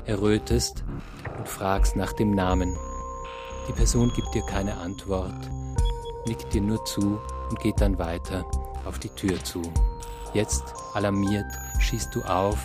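A man with a fairly deep voice narrates a radio play. His storytelling is measured and descriptive, addressed straight to the listener. Music runs clearly behind him. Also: steady tone.

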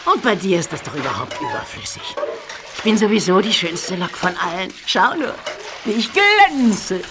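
A woman's voice: medium pitch, huge pitch swings, moderate pace, music in the background. A woman voicing a vain, elegant female steam engine in a children's audio drama, haughty and teasing.

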